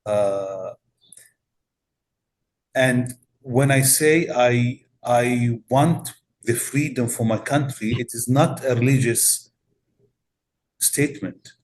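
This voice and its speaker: Slow, deliberate Palestinian-accented pastor. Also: male voice, mid-range voice, rough recording. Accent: Palestinian Arabic. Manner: measured, deliberate, many pauses and "uh" fillers. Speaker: pastor, interview guest. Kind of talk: reflective interview answer.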